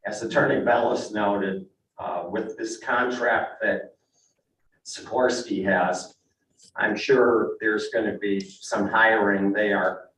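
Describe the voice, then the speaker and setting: A man's voice: fairly low pitch, fairly even intonation, slow pace, fairly bright tone, a little hiss or hum. An older man, a real estate broker, giving plain, conversational testimony at a zoning meeting. He is losing his voice. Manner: plain, conversational, with frequent "uh" and restarts. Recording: echoey council chamber, mic at a distance.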